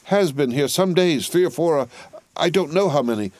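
Man voicing a distraught young wife, raised pitch. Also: animated, moderate pace, darkish tone, dry recording, close to an everyday voice. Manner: panting, pleading. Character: distraught, imploring young wife, devoted and fearful.

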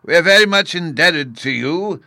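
A man's voice: medium pitch, very animated, moderate pace, gravelly, pushed and bright, dry recording, voice pushed up, exaggerated voice. A man voicing a polite, absent-minded old professor in a storybook, courteous, stammering and enthusiastic.

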